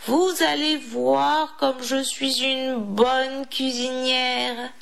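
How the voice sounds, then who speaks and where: A woman's voice: sits high, flat delivery, drawn-out words, creaky, fairly bright tone, heavily acted. A woman voicing a small, timid female mouse in a children's storybook, pleading and eager to please. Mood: flustered, eager.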